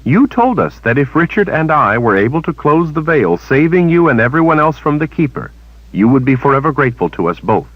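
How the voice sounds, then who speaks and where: A defiant young woman throws out sharp, lively accusations, as a male narrator lifts his voice for her. Her lines climb high and animated. The recording is dry and a little dull. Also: brisk, no room sound. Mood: angry. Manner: indignant, rising.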